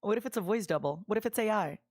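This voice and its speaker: A woman's voice: mid-range voice, expressive, quick pace, a little mellow. Giggly streamer woman with a Russian accent. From Ukraine. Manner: giggly, self-deprecating, squeals in delight. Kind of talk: casual reaction stream.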